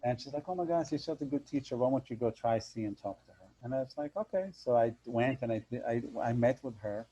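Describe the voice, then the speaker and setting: A man with a loud voice telling a personal story in a casual interview, acting out the voices of the people in it. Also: mid-range voice, fast, few pauses, breathy edge. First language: Hebrew. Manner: animated storyteller, acts out voices. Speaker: hypnotherapist guest. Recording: dull lo-fi sound.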